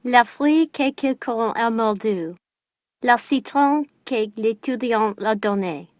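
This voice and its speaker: An actress playing a diligent female student in a video game, reciting language drills deliberately. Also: a little high, darkish tone, no room sound, lo-fi sound. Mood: studious.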